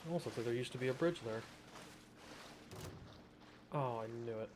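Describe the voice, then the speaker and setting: A man's voice: medium pitch, normal speed, background noise. A man giving casual gameplay commentary, rambling to himself in a wry, informal way. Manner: rambling, self-talking, wry. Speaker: gamer, streamer. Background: game music.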